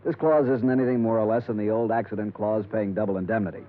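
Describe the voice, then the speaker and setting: A man's voice: lowish voice, fairly even intonation, fast, close to an everyday voice. A man acting a worn-out, stubborn and suspicious insurance boss in a 1950s radio drama, grumbling and insistent. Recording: tinny, band-limited 1950s radio recording.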